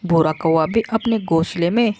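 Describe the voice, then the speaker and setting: A woman reading scripted narration for a children's animated story. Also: lowish voice, normal speed.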